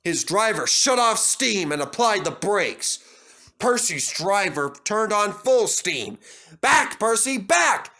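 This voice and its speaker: A man narrates a children's story with a bright, forceful tone. His reading is expressive and dramatic, swinging widely in pitch. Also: takes it slowly.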